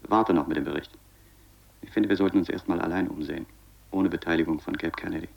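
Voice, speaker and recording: An actor playing an uneasy, stubborn astronaut who reports tersely and haltingly over an intercom in a German science-fiction radio play. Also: male voice, sits low, steady tone, vocal fry, a little hiss or hum. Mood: uneasy, hushed. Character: uneasy, stubborn astronaut exploring a wrecked asteroid station. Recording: dull, lo-fi radio sound.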